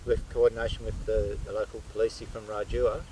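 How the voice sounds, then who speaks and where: A man, a guest, telling how a yacht was recovered in a casual outdoor interview on a beach, speaking haltingly with many fillers. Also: on the low side, little pitch movement, noisy background.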